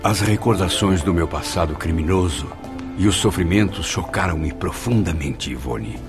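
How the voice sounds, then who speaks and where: A remorseful, reflective spirit telling his own story in a radio drama. His voice is very deep with a lively, expressive pitch, over clear background music. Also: normal speed. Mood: solemn. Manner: reflective, solemn narration. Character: remorseful man, former suicide, recovering spirit. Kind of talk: radio drama acting and first-person narration.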